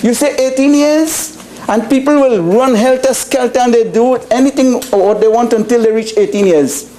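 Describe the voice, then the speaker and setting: Male senator, high mellow voice, halting debate speech with rhetorical questions. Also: low-quality recording.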